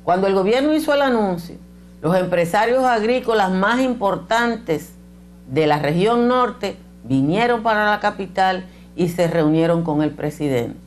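A woman's voice: medium pitch, animated, unhurried, a little hiss or hum. An older woman from the Dominican Republic with a Dominican Spanish accent, delivering blunt, emphatic opinion commentary to camera on a lapel mic in a small studio. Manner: emphatic and blunt, gesturing with her hands. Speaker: political commentator. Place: small indoor studio set with bookshelves.

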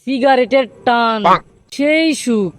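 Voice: high-pitched, takes it slowly, slight background noise. An actor voicing a cheeky, whiny young boy in a comic cartoon, with a northern Bangladeshi accent. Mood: blissful. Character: Tonmoy, cheeky young boy who sneaks cigarettes, whiny. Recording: cartoon voice over clear background music.

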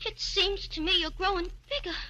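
An actress playing a frightened, pleading young sweetheart in a halting, melodramatic 1940s radio thriller style. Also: female voice, very high voice, sharp tone. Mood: fearful.

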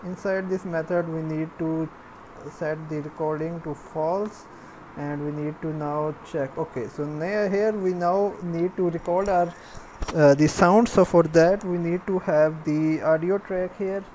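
A woman giving a step-by-step programming tutorial, dictating in a halting, piecemeal way as she works. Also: sits low, fairly even intonation, dark tone, slight background noise, low-quality recording. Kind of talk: step-by-step screencast tutorial, spoken while typing.

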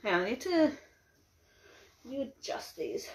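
A woman's voice: on the high side, huge pitch swings, unhurried. A young woman with an Australian accent, chatting casually and laughing often as she unboxes something on a phone live stream in her bedroom. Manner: chatty, giggly, rambling with frequent laughs. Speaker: vlogger. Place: small cluttered bedroom, indoors. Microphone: phone camera propped on a mug.

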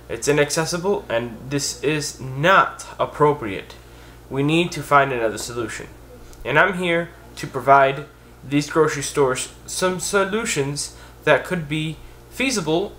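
Young guy vlogging straight to the camera, kinda high voice, gets worked up and goes higher when he makes a point. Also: slight background noise. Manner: earnest, animated, often rises in pitch when making a point. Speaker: vlogger on blindness. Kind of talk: casual to-camera commentary. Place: indoors, a green-screen backdrop of store photos.

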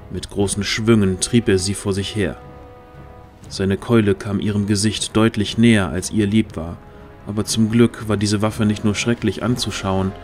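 A man's voice: on the low side, darkish tone. A man as narrator, reading a scripted fantasy story in a dramatic storytelling style. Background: background music.

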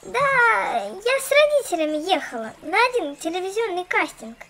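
A woman's voice: extremely high pitch, very animated, normal speed, fairly bright tone, full character voice. A woman voicing a sassy, skeptical little doll girl in a children's cartoon, with a sarcastic, exclamatory manner. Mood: wry, surprised.

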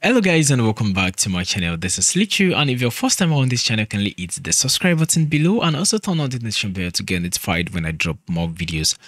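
A man's voice: fairly low pitch, big pitch swings, fast, hardly any pauses, fairly bright tone, no room sound. A young man hosting a casual crypto tutorial vlog from a small purple-lit room, chatty and often restarting sentences with "so". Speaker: crypto channel host. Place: small room with purple lighting and gaming chair. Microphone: studio mic on boom in front of face.

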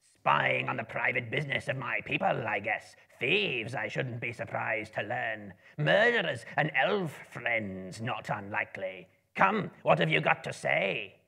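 A great goblin king in a storybook tale. The voice is harsh and very gravelly, pompous and menacing. It swings widely in pitch as it bears down on each accusation with forceful, raspy weight. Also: lively intonation, heavily acted. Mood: suspicious, menacing. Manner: loud, accusing, menacing drawn-out delivery. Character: huge, pompous, wrathful male goblin king.

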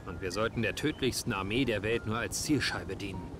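An actor playing a level-headed soldier in a video game, with a dry, wry way of talking. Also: male voice, lowish voice, a little fast, background noise, close to an everyday voice. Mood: wry, reflective. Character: level-headed soldier narrating his squad's misadventures. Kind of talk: scripted game dialogue and narration. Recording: music behind the voice.

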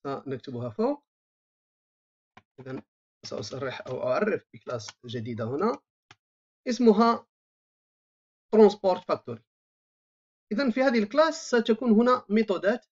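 A programming instructor, explaining code while typing, thinking aloud with frequent drawn-out filler sounds. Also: mid-range voice. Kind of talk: screen-recorded coding tutorial. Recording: dull lo-fi voice-over mic.

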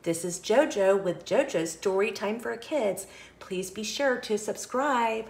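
A woman reading a picture book aloud for children, expressive, with questioning asides to young listeners. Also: medium pitch, measured pace, vocal fry. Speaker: children's story reader and host.

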